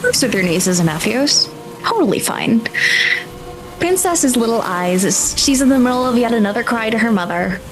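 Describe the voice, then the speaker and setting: A woman's voice: fairly high pitch, animated, moderate pace, music in the background, poor audio. A woman working as game master, improvising dramatic, vivid narration for a tabletop roleplaying game.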